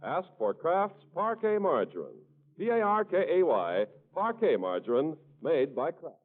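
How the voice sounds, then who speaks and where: A man's voice: fairly high pitch, wildly swooping pitch, a little slow. A man with an American accent announcing a commercial in the polished style of 1940s radio. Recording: tinny 1940s radio recording.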